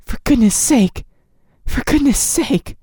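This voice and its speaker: Frantic young wife, high and pleading, close dry sound, read by a woman. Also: expressive, slow pace, dark tone, slightly rough, dry recording, full character voice. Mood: desperate pleading. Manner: sobbing, begging, insistent.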